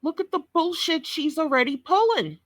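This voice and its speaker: A woman's voice: extremely high pitch, lively intonation, normal speed, a bit bright, dry recording, clean studio sound. A woman giving blunt, scolding commentary on a clip, swearing heavily. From New York. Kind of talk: profane reaction commentary.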